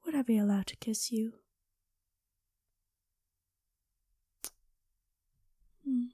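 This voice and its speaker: A woman voicing a gentle, lovestruck dragon queen in an ASMR roleplay, speaking softly and intimately with formal courtly phrasing, often slipping into whispers, close on the microphone. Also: fairly high pitch, no room sound. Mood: intimate, flirtatious. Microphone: close microphone, dry sound.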